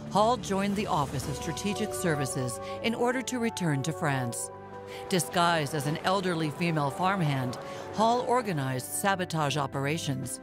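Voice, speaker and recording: A woman with a notably low voice narrates in a measured, formal voice-over. Clear music runs underneath her. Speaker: documentary narrator. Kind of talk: scripted documentary narration.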